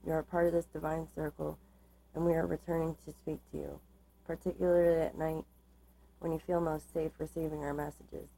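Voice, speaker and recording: Low, flat-voiced woman with a tiring, creaky voice. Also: a little fast, rough recording. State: slightly losing her voice. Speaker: tarot card reader. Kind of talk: tarot reading, part read aloud from cards, part informal explanation.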